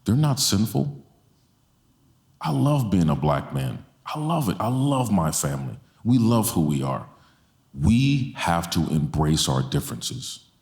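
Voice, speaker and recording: A man's voice: sits low, sing-song, measured pace, gravelly, a little mellow. A man in his forties speaking with passion to a church audience on stage, holding a handheld mic and repeating lines for stress. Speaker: guest speaker. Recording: roomy church hall sound.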